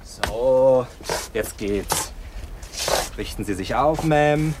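A young male porter in a radio drama, eager to oblige and slightly cheeky. His medium-pitched voice comes with clearly audible noise around it. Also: animated, extremely slow. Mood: busy, coaxing. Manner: deferential, eager. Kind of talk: German radio drama acting.

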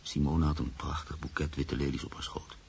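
An actor playing a grave, reflective man who narrates his own story in a radio drama, gravelly and measured. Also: a bass voice, level tone, a little mellow. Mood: grave, tender. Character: Freek, reflective grave man, narrator-protagonist moved by loss. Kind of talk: literary radio drama monologue. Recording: faint background noise.

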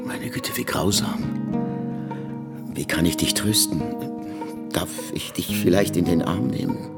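A man's voice: low voice, measured pace, loud music behind the voice. A man acting in a radio drama as a confused, hesitant ageing revolutionary in intimate dialogue. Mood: confused, tender. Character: ageing Trotsky, confused, hesitant would-be lover. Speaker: radio play actor.

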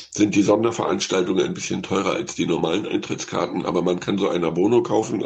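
Creaky low-voiced man reviewing films. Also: darkish tone. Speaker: radio film critic. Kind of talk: unscripted radio film review.

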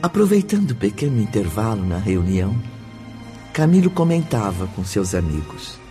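Radio drama narrator: solemn, literary, low and mellow with expressive melody. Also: male voice, normal speed. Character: solemn male narrator of a spiritual tale. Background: faint background music.

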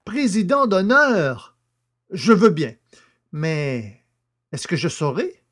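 A man playing a naive, self-pitying poacher in prison, easily flattered and grandiose, in a theatrical, exclamatory audio drama style. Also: high-pitched, full character voice. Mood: proud, excited. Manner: plaintive, exclamatory, drawn-out words. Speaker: actor in an amateur audio drama.

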